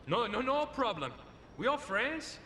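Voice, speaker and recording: Casting: panicky henchman, very high voice, Latin American Spanish accent, begging, game cutscene, distant in an echoing hall. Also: sing-song, measured pace, sharp tone, exaggerated voice. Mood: placating fear. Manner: whining, pleading, panicky. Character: cowardly, pleading Colombian cartel henchman. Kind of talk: video game cutscene acting. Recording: echoey hall, distant, faint rumble.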